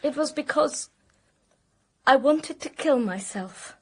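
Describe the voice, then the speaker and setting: Young lady in a radio mystery, high voice, earnest and plaintive, weighed down. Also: lively intonation, slow pace, exaggerated voice. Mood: anguished. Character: troubled young British woman, suspected of murder, despairing. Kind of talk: radio mystery drama acting.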